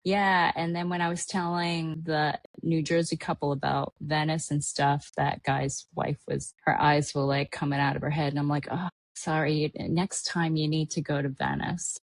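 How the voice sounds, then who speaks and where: Podcast host, woman from Hawaii, lowish voice, dry close sound, casual rambling chatter with fillers. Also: level tone, moderate pace, vocal fry, no room sound. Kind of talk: casual travel recap chat.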